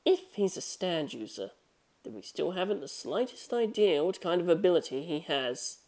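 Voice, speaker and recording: A narrator voicing a cautious, measured man in an audiobook mystery, speaking very deliberately. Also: medium pitch, very animated, darkish tone. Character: cautious, deliberate man advising a younger ally.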